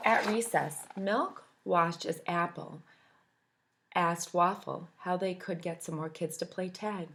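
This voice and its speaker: A woman teacher reading a picture book aloud to children, expressive and animated, asking her listeners questions along the way. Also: on the low side, slow.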